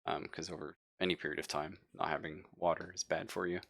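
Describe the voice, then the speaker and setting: Very deep-voiced man running a tabletop game. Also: flat delivery, fast, vocal fry, a bit bright. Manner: informal, explanatory. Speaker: game master. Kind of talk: improvised narration of a tabletop game.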